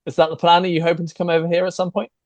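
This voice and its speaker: A man's voice: on the high side, racing, no echo, lo-fi sound. Male interviewer, dry close sound, short affirmations. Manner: short affirmations then steady questions. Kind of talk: interview questions.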